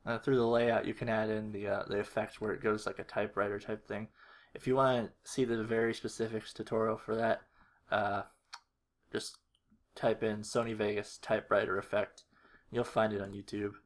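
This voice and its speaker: A man giving a casual screen-recorded walkthrough, thinking aloud in a low-key, halting mutter. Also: level tone. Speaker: video creator. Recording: faint noise with keyboard clicks. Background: computer keyboard typing and mouse clicks.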